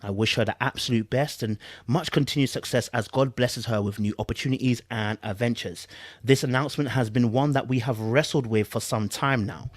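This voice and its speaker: A man with a London accent and a mid-pitched voice, recorded dry with very little room sound. He talks like an online commentary host, informal and slangy, repeating phrases for emphasis as he reads and reacts. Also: a little fast, no echo. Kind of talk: casual reaction commentary with reading aloud.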